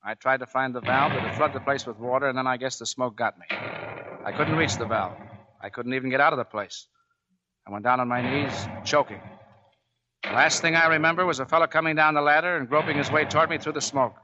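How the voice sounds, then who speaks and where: An actor playing a gruff, commanding, plain-spoken Navy chief who tells his story, in 1940s radio drama style. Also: medium pitch, brisk, dull audio, background noise, lightly acted. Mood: sober narrating. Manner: barking orders, plain-spoken narration.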